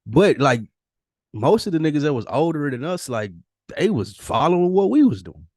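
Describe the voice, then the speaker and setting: A man's voice: fairly high pitch, big pitch swings, normal speed, darkish tone, no echo, clean studio sound. An American man co-hosting a podcast in casual, slangy, appreciative conversation. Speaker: podcast host.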